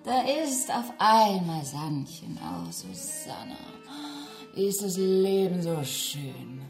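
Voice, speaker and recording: Tipsy woman, very slow drawl. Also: on the low side, very animated, roomy sound, soft background music, full character voice. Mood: tipsy, gushing. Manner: drunken, drawn-out, gushing. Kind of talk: radio drama dialogue.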